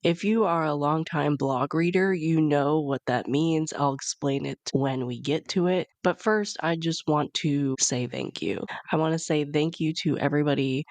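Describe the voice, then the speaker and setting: A woman's voice: low-pitched, a little mellow, no room sound. Chatty congested woman podcast host. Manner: chatty, upbeat, rambling. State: congested from a cold. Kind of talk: casual solo podcast chat.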